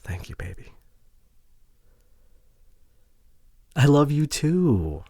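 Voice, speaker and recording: A man playing a remorseful, devoted boyfriend in an intimate ASMR audio drama, with a breathy voice that often drops toward a whisper and an earnest, halting delivery. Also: on the high side, big pitch swings, mellow, no echo, clean studio sound, exaggerated voice. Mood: tender, vulnerable. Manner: emotional, earnest, halting with sighs. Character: remorseful, devoted boyfriend pleading to repair relationship.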